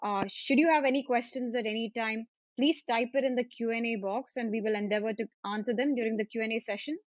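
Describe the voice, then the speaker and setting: A woman's voice: a little high, fairly even intonation, quick pace, no echo. A woman hosting a webinar in a formal, scripted manner, with occasional fillers. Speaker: webinar host, value manager. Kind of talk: webinar moderation. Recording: dull, dry audio.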